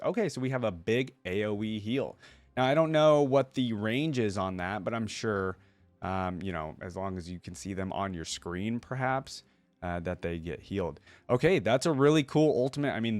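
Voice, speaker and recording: A man's voice: lowish voice, dry recording. A man hosting a reaction video, chatting casually and thinking aloud as he gives his commentary.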